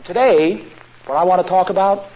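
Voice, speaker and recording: A man preaching a sermon to a room of listeners, drawing out his words with long pauses. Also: high voice, warm tone. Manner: slow, drawn-out phrasing with long pauses. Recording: dull lo-fi recording.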